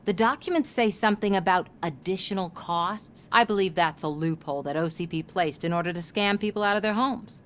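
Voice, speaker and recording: An actress playing a sardonic, determined investigative reporter in a video game, speaking in a wry, pointed way. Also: female voice, on the low side, brisk, hardly any pauses, a bit bright, slight background noise, close to an everyday voice. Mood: skeptical, sardonic.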